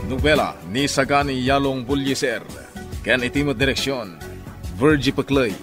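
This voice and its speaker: A man announcing a radio drama in a measured, declamatory, scripted style. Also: medium pitch. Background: loud theme music.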